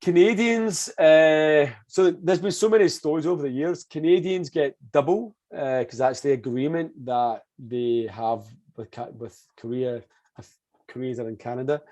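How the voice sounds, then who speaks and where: A man's voice: fairly high pitch, moderate pace, a little mellow. A man in his forties, a language school manager chatting as a guest in an interview, joking and often repeating his words. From Scotland. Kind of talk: casual interview chat.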